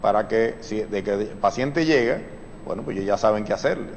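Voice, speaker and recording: A man, a surgeon on a TV talk show, explaining patiently with many fillers and the odd clearing of his throat. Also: on the low side, lively intonation, fairly quick, in a large hall, heavy background noise. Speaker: surgeon guest. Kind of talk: explanatory TV interview.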